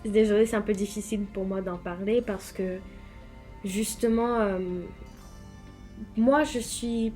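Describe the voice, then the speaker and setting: Halting young woman with an English-speaking accent. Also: mid-range voice, darkish tone. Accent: English-speaking accent in French. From Philippines. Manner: hesitant, halting, full of fillers and restarts. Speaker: guest. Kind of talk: personal testimony in an interview.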